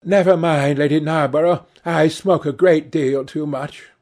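A man voicing a gracious society lady in a storybook reading, soft and murmuring. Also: on the high side, fairly even intonation, a little slow, pitched above the performer's own voice.

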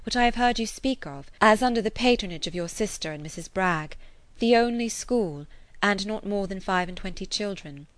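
A woman voicing a reserved young woman who speaks in a measured, composed way. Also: mid-range voice, animated, no echo, close to an everyday voice.

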